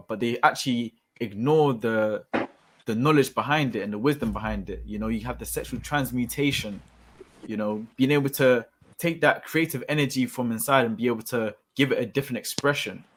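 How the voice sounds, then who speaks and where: A man's voice: mid-range voice, brisk. A man hosting a podcast interview in a casual way, feeling his way through his questions.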